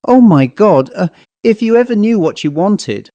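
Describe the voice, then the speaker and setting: A man's voice: high-pitched, lively intonation, moderate pace, mellow, dry recording, highs cut off, pitched above the performer's own voice. A man voicing a bitter, reproachful middle-aged woman in a read-aloud ghost story. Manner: reproachful, accusing.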